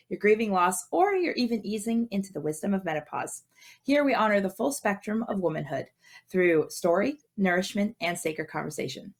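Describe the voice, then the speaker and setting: A woman from Canada hosting a podcast, opening with a calm, soft scripted welcome before casual chat. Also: medium pitch. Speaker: podcast host, doula and women's health coach.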